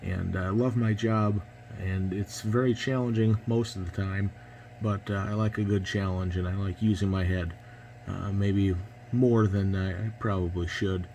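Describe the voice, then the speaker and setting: Young man, American accent, lowish pitch, hesitant and polite, amateur radio chat, faint background noise. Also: fairly even intonation, measured pace, warm tone. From United States. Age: 20s. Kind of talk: casual ham radio conversation.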